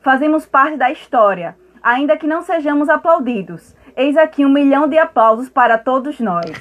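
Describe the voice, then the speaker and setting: A Brazilian woman with a high-pitched voice hosts an academic live stream. She talks informally and directly to her viewers, sometimes reading from prepared text. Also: lively intonation, moderate pace, phone-quality sound, a little hiss or hum.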